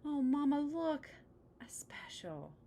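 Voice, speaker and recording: A woman's voice: high voice, sing-song, takes it slowly, dark tone, a little hiss or hum, pitched above the performer's own voice, full character voice. A woman voicing an eager, outspoken young girl bear cub in a children's storybook. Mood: pleading. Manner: exclaiming.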